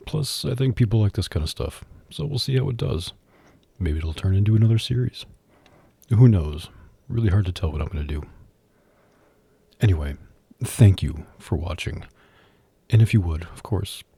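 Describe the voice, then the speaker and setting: A man's voice: low-pitched, gravelly, warm tone, husky, no echo. A man hosting his own ASMR channel, chatting casually to his viewers into a close podcast microphone. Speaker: ASMR voice actor and channel host.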